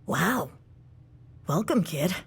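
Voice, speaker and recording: An actress playing a wry, gruff janitor with aches, blunt, folksy and conspiratorial, in an audio drama. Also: female voice, fairly low pitch, big pitch swings, drawn-out words, exaggerated voice.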